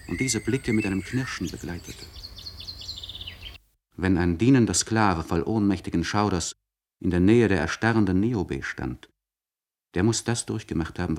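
An actor playing a despairing young poet lord, delivering a solemn, deliberate literary monologue in elevated language for a radio play. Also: male voice, lowish voice, a little hiss or hum, close to an everyday voice. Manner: solemn, deliberate, elevated diction with dramatic swells. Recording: 1960s radio production.

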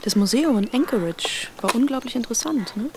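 An actress playing a hurt, reproachful wife on a wilderness trip in a naturalistic radio drama, with a probing, sarcastic manner. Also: female voice, a little high, animated, brisk, noisy background. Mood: wistful, subdued.

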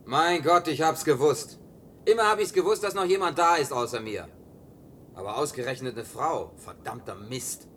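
An actor dubbing an awkward, gruff man wandering alone after the end of the world, muttering curses. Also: fairly high pitch, expressive, normal speed, a bit bright, slight background noise. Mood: bewildered. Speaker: Jim, survivor on foot. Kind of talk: German film dub.

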